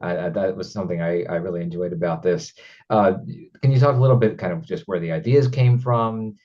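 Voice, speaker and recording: A man hosting a conversational interview, speaking in a hesitant, halting way. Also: low voice, lo-fi sound. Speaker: interview host, independent filmmaker.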